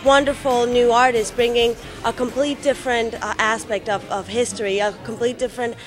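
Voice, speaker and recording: A woman giving promotional interview talk as a restaurant representative, halting and rephrasing as she goes. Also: on the high side, fairly even intonation. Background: music.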